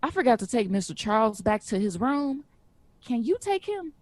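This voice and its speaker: Lively, sassy care worker. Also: female voice, a little high, moderate pace, dry recording. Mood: kindly, brisk. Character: playful, flirty woman care worker, warm and sassy. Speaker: actor. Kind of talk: naturalistic audio drama acting.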